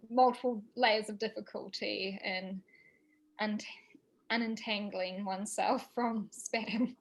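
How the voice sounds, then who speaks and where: A woman from New Zealand, a seminar presenter answering questions thoughtfully on a video call, with light laughs and many pauses. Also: fairly high pitch, low-quality recording. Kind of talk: academic Q&A discussion.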